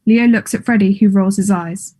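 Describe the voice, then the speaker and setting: Female narrator for a play reading, mellow mid-pitched voice, neutral and measured. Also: fairly even intonation, slightly rough. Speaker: stage directions narrator. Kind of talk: scripted narration.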